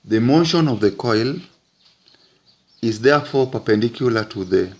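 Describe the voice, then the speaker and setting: A man teaching physics, narrating an explanatory lesson in deliberate, halting phrases with frequent breaks. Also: mid-range voice, lively intonation.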